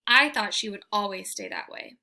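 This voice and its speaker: A woman voicing a gentle, wistful female dog in a children's storybook, with a plaintive manner. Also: medium pitch, moderate pace.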